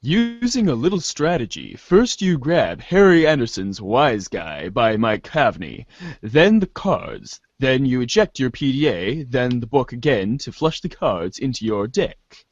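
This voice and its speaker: Slow, animated male host and narrator. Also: medium pitch, no echo, dull audio. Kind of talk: amateur reader's theater narration.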